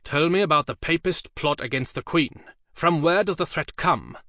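A man's voice: a little high, moderate pace, sharp tone, dry recording, clean studio sound, voice pushed up. A male narrator voicing a ruthless royal spymaster who questions curtly and insistently. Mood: interrogating. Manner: curt, insistent interrogation.

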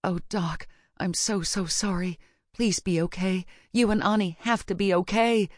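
A woman's voice: fairly low pitch, lively intonation, a little slow, dry recording, studio quality. A woman voicing an anxious but determined young female witch, the heroine of a fantasy audiobook. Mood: pleading. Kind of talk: audiobook character dialogue.

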